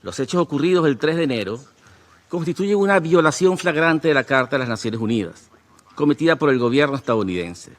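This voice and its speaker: A man delivering a formal, prepared political statement in a measured, declarative way. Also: fairly high pitch, lively intonation.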